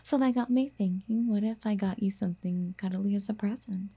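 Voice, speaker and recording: A woman playing a doting, possessive rich girlfriend in an ASMR roleplay, soft-spoken and coaxing, often slipping into whispers. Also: mid-range voice, brisk, husky. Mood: coaxing, eager.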